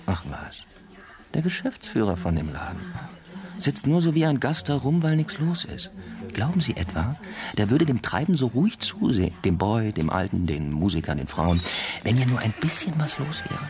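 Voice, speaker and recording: A man's voice: mid-range voice, sing-song, moderate pace, a crowd in the background, lightly acted. A man playing a worldly, cynical Berlin bartender in a German radio drama, chatting in a knowing, conspiratorial way. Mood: knowing, gossipy. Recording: music playing behind.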